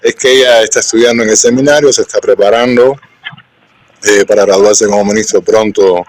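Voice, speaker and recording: A man from Cuba with a Cuban accent, hosting a live-stream interview in an earnest, unhurried way. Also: lowish voice, steady tone, poor audio. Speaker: host.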